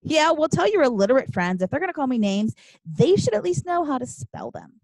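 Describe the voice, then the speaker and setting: A woman's voice: a little high, very animated, fairly quick, no room sound, low-quality recording. A woman voicing a flamboyant, sassy and self-assured seventh-grade boy with dramatic emphasis, in an audiobook. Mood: outraged. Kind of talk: audiobook character dialogue.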